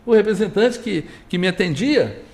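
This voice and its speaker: A middle-aged Brazilian man with a rather high voice that rises and falls a lot. He talks casually as a guest, wandering through anecdotes and restarting his sentences. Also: normal speed. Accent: Brazilian Portuguese. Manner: animated, rambling anecdotes with many restarts. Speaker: guest, business owner. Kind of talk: casual conversation, storytelling.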